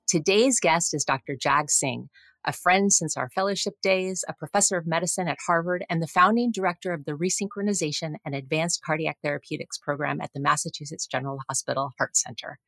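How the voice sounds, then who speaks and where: A woman's voice: low voice, fairly quick, a bit bright, no echo. A middle-aged woman, a physician, hosting a medical interview podcast and putting questions to a guest. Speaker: podcast host, physician and professor.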